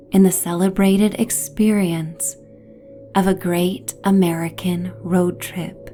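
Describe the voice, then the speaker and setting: A woman guides a bedtime visualization at a very slow, hypnotic pace. Her voice is mellow and relaxed, with a medium pitch and gentle phrases that fade toward a breathy whisper. Soft music plays faintly behind her. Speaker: narrator and host of sleep stories. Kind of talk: guided sleep story narration, slow and hypnotic.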